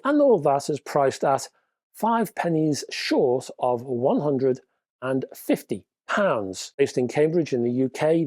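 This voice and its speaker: A man's voice: mid-range voice, mellow, no echo. Man hosting a hi-fi news roundup. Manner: casual, chatty, with asides. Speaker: host.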